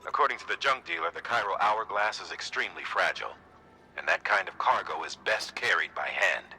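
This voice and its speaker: A man's voice: fairly low pitch, expressive, a little fast, vocal fry, sharp tone, slight background noise, heavily acted, rough recording. An actor playing a businesslike male dispatcher in a video game, giving matter-of-fact delivery instructions.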